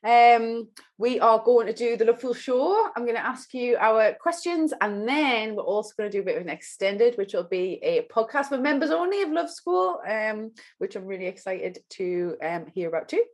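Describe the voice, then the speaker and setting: A woman hosting a casual chat podcast, upbeat and eager, with quick asides to her guest and listeners. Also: a little high, animated. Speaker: podcast host.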